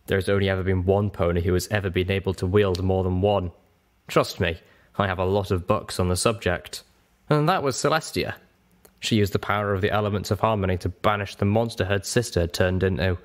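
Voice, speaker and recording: A man's voice: low voice, brisk, lightly acted. A man voicing an ancient, lonely dragon guardian in a story reading, weary, blunt and grave. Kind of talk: audiobook character voice.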